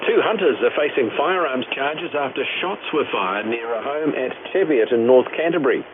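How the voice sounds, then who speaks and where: A man's voice: mid-range voice, lively intonation, moderate pace. A man with a New Zealand accent reading a scripted radio news bulletin as presenter. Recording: noisy narrowband shortwave radio broadcast.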